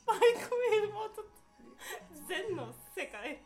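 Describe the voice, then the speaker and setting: A woman's voice: extremely high pitch, sing-song, moderate pace. A woman from Japan delivering a scripted show introduction as the announcer. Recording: short intro over plucked-string music.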